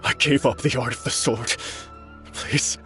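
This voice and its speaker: An actor playing a broken, guilt-ridden old swordmaster in a game, speaking in an anguished, pleading way. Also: male voice, mid-range voice, fairly even intonation, a little slow, music in the background, natural voice.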